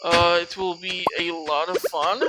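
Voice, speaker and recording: A video creator of unclear gender gives casual, rambling let's play commentary, as if thinking out loud. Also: mid-range voice, flat delivery, unhurried, very noisy. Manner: rambling, talking to self. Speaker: gaming video creator, host. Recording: loud game music behind voice. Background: video game music.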